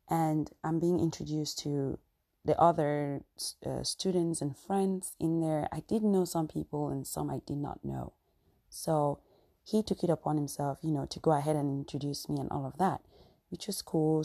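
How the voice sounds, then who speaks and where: A young woman from Cameroon hosts her podcast in a Cameroonian accent, speaking low and mellow. Her voice is husky and slightly breathy. She tells a personal story earnestly and reflectively, with plenty of fillers. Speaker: podcast host.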